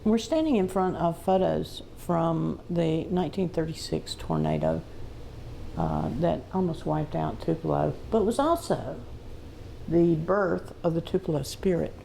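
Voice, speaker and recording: A woman giving an unscripted museum tour, telling stories in halting, emphatic phrases. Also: deep voice, gravelly, dark tone, slight background noise, low-quality recording. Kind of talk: unscripted guided tour talk. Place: inside a museum.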